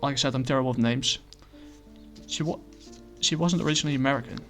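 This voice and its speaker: A man casually reviewing a comic book, talking in a halting way with many fillers and restarts. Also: medium pitch, fairly bright tone, soft background music.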